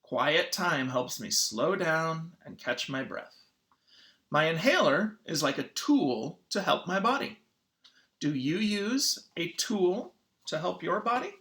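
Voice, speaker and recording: Someone reading a children's picture book aloud in an expressive, teacherly way. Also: medium pitch, slow. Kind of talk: children's read-aloud narration.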